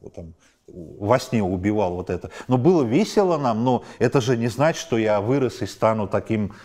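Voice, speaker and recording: A low-pitched man in his forties talks through an interview in a modern apartment. He piles up examples and pushes his emphasis higher as the list goes on. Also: normal speed. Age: 40s. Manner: animated, lists examples with rising emphasis. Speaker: film director. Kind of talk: interview answers. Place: high-rise apartment at night, indoors.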